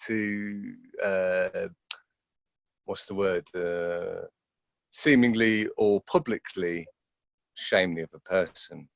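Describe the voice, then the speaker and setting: A man's voice: low-pitched, steady tone, slow pace, vocal fry, pauses often, no room sound. A man giving conversational interview answers as an actor, hesitant and often repeating words as he thinks aloud.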